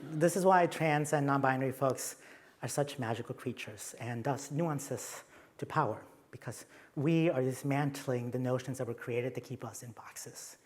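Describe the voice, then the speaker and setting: A man's voice: medium pitch, normal speed. A young adult man from Mexico with a Mexican accent, giving a conference talk with slides and playful, self-deprecating asides. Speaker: conference presenter.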